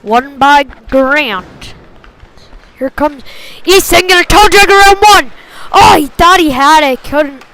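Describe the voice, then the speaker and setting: Female hockey play-by-play caller, very high voice, slow pacing, clipped phrasing, excitable on big plays. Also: a little hiss or hum, rough recording. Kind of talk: live sports commentary.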